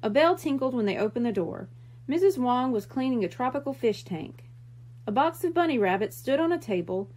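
A woman reading a children's book aloud in an expressive storytelling style. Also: a little high, fairly quick, husky.

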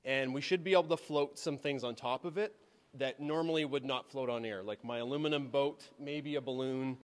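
A man's voice: mid-range voice, fairly quick. A man in his thirties explaining science informally and emphatically, like an enthusiastic teacher in an interview. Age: 30s. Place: large school science classroom. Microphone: handheld reporter microphone. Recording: long echo of a large room.

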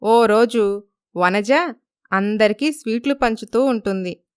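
A woman works as narrator of a scripted moral tale. Her pitch is medium, and her voice is clean and smooth. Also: slow, a little mellow, no echo, studio quality. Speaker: story narrator.

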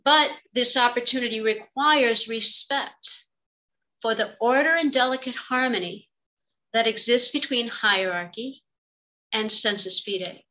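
Bright, higher-voiced woman giving a formal lecture. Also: rough recording. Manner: measured, formal. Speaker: theology professor and religious sister giving a talk. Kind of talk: prepared academic lecture read aloud. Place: indoor office, on a video call. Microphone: computer microphone on a video call.

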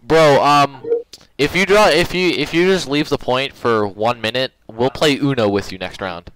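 A young man chatting casually and excitedly with friends while gaming, swearing freely. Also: mid-range voice, normal speed, rough recording. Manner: excitable, laughs often, sweary. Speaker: gamer playing an online shooter with friends. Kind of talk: casual banter during gameplay.

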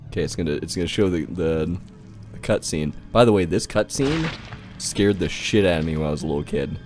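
A man's voice: sits low, lively intonation, fairly quick, darkish tone. A man giving casual, profane and amused commentary as he plays a video game. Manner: amused, giggly, easygoing. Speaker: game streamer, channel host. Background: game music.

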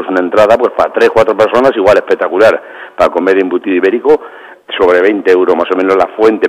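A man with a lowish voice, calling in over a phone line to a radio show. He talks proudly and at length about his restaurant's food and wine. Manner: proud, talkative, repeats "espectacular". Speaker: restaurant manager.

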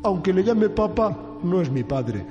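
Whiny naive young king in a comedy sketch, high voice, lots of reverb. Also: sing-song, unhurried, highs cut off, music in the background. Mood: naive, earnest. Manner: childish, obedient, literal-minded. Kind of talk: satirical radio-style sketch comedy.

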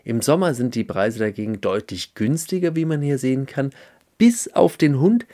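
A man's voice: mid-range voice, moderate pace, no echo, studio quality. Chatty man vlogging from a camper van. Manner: chatty, animated, gesturing with hands. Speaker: camping vlogger. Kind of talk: casual review vlog with voice-over. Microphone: small clip-on mic.

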